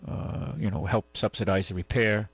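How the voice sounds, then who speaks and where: A man hosting a call-in radio show, giving casual advice in a halting, rambling way. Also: lowish voice, creaky, breathy edge, no echo. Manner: halting, rambling, conversational explanations. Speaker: radio call-in show host, car dealer.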